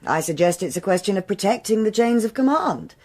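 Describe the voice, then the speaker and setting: An actress playing a stern, authoritative police superintendent who speaks in a measured, formal way. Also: female voice, medium pitch, animated, a little mellow, natural voice. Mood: briefing. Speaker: senior police officer. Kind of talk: radio crime drama acting.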